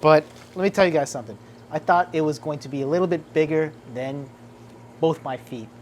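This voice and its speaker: A man's voice: on the high side, brisk, a little hiss or hum. A man from Central New Jersey with a New Jersey accent, chatting casually and upbeat to his viewers in a vlog. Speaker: vlogger.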